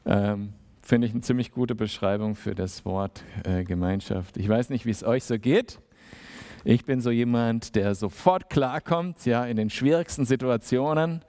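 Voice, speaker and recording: A man giving a sermon in a relaxed, conversational way, with many fillers and little acted-out exchanges. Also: mid-range voice, normal speed. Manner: conversational, acts out small dialogues. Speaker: preacher.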